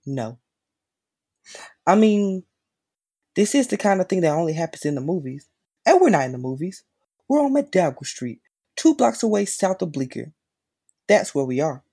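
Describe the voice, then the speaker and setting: A man's voice: high-pitched, big pitch swings, normal speed, creaky, pauses often, dark tone, husky, heavily acted. A man performing a dramatic monologue as a conflicted, lovestruck, rational man, pleading intensely and emphatically. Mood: agitated, insistent.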